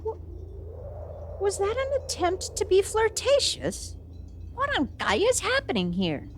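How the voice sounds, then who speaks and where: A woman's voice: very high-pitched, wildly swooping pitch, slow, a little hiss or hum, exaggerated voice. A woman playing a haughty, easily affronted evil sorceress in an ASMR fantasy roleplay, imperious and melodramatic. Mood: baffled, indignant. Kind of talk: ASMR audio roleplay acting.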